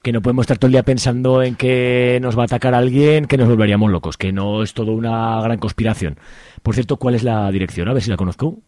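A man's voice: mid-range voice, fairly even intonation, fast, darkish tone, no echo, clean studio sound, lightly acted. A man with an accent from Spain playing a young, easygoing activist in an amateur radio serial, colloquial and hesitant. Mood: reassuring, casual. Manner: colloquial, hesitant, repeats words. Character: young male activist, easygoing, dismisses worries, curious.

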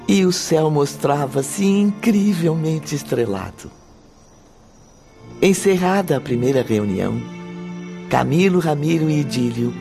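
A man narrating a spiritual radio drama as a grave, deliberate, dramatic storyteller. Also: medium pitch, gravelly. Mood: serene storytelling. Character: solemn male storyteller of a spiritual tale.

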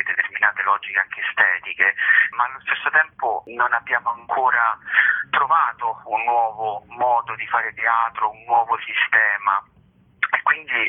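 A man's voice: lowish voice, breathy edge. A man giving reflective, intellectual interview answers as a theatre playwright and actor. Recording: narrow tinny phone line.

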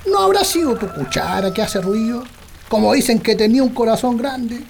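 Radio comedy: stubborn old Chilean peasant husband played by a man, creaky, sleepy, forever grumbling. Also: extremely high pitch, expressive, normal speed, slight background noise, full character voice. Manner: grumbling, colloquial. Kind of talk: comic radio drama acting.

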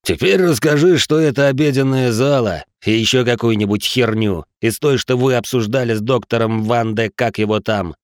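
An actor playing a blunt, mocking American cop of about forty in an audiobook. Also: male voice, mid-range voice, normal speed, few pauses, dry recording, studio quality, close to an everyday voice. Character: gruff bald American cop around forty. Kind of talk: audiobook character voice.

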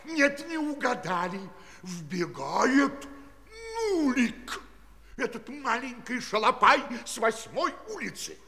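An actor playing a flustered, indignant, stammering minor official in a children's audio play. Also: male voice, extremely high pitch, big pitch swings, takes it slowly, bright, forceful tone, exaggerated voice. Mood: indignant, suspenseful. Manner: stammering, breathless complaining. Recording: reverberant hall, faint crowd behind.

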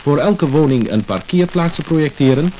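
A man's voice: fairly high pitch, animated, moderate pace, dark tone, slight background noise, poor audio. A man with a Dutch accent reading scripted radio news, on a mid-century disc recording. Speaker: newsreader.

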